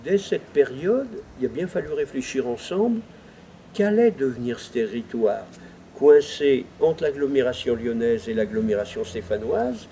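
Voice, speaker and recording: A man's voice: medium pitch, expressive, moderate pace, warm tone, a little hiss or hum, low-quality recording. A man giving interview testimony as a local official, explaining step by step and stressing his key points. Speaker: former president of an intercommunal council, interviewed.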